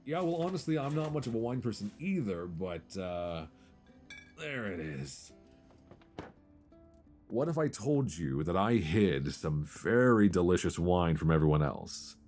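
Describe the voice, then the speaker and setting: A man's voice: low voice, big pitch swings, unhurried, darkish tone, soft background music. A man playing a playful, easily flustered old friend in an intimate, conversational romantic audio roleplay, teasing and stammering as he chats. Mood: casual, easygoing. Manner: teasing, stammering, chuckling banter.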